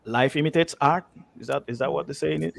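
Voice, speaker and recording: A man's voice: on the high side, fast talker, vocal fry. A man speaking as a writer and guest in a conversational interview, anecdotal and emphatic, often repeating himself.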